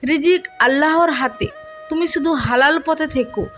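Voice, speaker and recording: A woman storyteller voicing a shy, devout, gentle young wife who speaks politely and earnestly in a romantic story. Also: sits high, normal speed.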